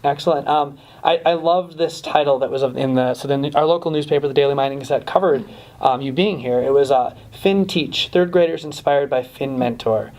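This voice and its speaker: A man's voice: mid-range voice, moderate pace, darkish tone, poor audio. A man hosting a weekly interview series, chatty and casual, with lots of fillers and restarts. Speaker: host.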